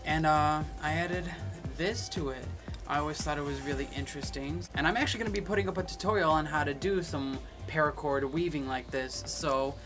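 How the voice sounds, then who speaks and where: A man's voice: a little high, brisk, music in the background. A young man in his twenties chatting casually to camera, reading questions aloud and answering them, recorded on a phone. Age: 20s. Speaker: vlogger.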